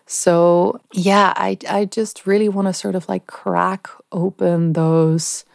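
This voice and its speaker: A young woman hosting her own podcast, talking candidly and haltingly while nasal and stuffy from a flu. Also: lowish voice, level tone, creaky, a little mellow, dry recording, clean studio sound. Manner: candid, halting, with many pauses and "yeah"s. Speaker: podcast host. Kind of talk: casual personal podcast monologue.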